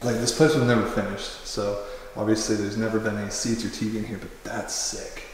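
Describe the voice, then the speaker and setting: A man's voice: lowish voice, a little fast, slight background noise. Young man exploring an echoing empty mansion. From Oklahoma. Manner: excited, slangy, laughs often. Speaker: urban explorer vlogger. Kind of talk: casual walkthrough narration. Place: large empty unfinished house, echoing rooms. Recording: very echoey empty rooms.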